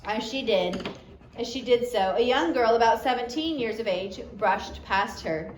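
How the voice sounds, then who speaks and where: A woman reads a story aloud in a fairly high voice. The recording is quite echoey, with faint noise in the background. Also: a little slow. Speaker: audiobook reader. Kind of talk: storybook narration, read aloud.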